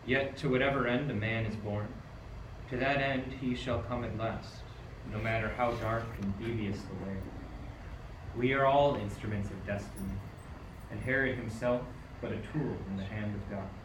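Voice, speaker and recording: A man's voice: lowish voice, steady tone, moderate pace, close to an everyday voice. A man playing a grave, fatalistic wise king in an amateur radio play, speaking in a solemn, oracular way. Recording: echoey room, distant mic, clear noise.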